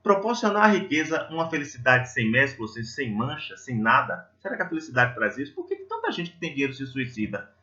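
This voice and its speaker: Brazilian guy doing a spiritual talk, forceful bright voice, kinda dull recording, asks listeners questions. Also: medium pitch, wildly swooping pitch, fast, hardly any pauses. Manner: didactic, conversational, poses rhetorical questions to listeners. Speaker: lay preacher at a Spiritist centre. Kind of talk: religious talk with storytelling and reflective questions.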